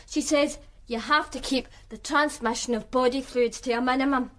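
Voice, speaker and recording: A woman's voice: sits high, steady tone, normal speed, a bit bright, a little hiss or hum. An actress playing a guarded, streetwise woman from Glasgow with a Glaswegian accent, talking in a dry, sardonic way in a radio drama. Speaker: witness, flatmate of the victim.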